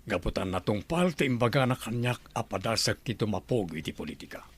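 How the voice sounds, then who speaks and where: A man playing a middle-aged, fatherly, principled governor in an audio drama, speaking in a measured, earnest way. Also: lowish voice, lively intonation, slightly rough. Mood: calm, authoritative. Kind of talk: Ilocano audio drama acting.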